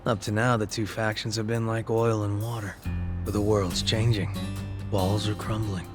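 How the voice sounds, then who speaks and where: A man voicing a weary gang leader, tough but soft-hearted, in a game cutscene. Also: lowish voice, flat delivery, normal speed, natural voice. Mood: tired. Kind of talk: video game cutscene acting. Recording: game audio with music behind.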